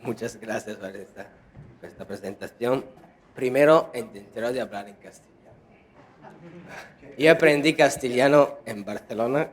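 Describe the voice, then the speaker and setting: A man's voice: mid-range voice, moderate pace, long pauses. A man with an Italian accent, whose first language is Italian, giving a conference lecture with many fillers and restarts. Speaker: conference speaker.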